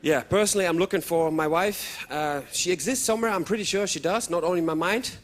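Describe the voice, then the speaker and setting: A man, performing stand-up comedy for a live audience with lively outbursts. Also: sits high, fast. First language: German. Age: 30s. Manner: animated, with sudden loud outbursts and put-on voices. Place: stage before a live audience.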